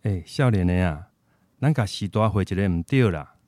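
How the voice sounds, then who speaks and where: A man's voice: deep voice, lively intonation, normal speed, mellow, dry recording. A man with a Taiwanese accent acting a kindly, hospitable old shopkeeper grandfather in a radio drama. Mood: firm.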